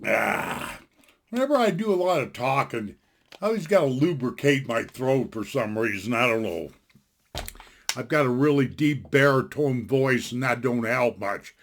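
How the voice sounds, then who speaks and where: A man's voice: medium pitch, animated, unhurried, fairly bright tone, slight background noise. A man in his sixties talking casually from his home into a desktop mic, giving a blunt, folksy, opinionated rant that rambles and restarts often. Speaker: vlogger. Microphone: desktop computer mic.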